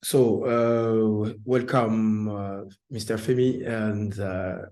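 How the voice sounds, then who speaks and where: A man with a fairly low, very soft-edged, relaxed voice and a Congolese French accent. He is an artist giving an informal online presentation. His English is imperfect and halting, as he keeps searching for words. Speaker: artist presenting their work.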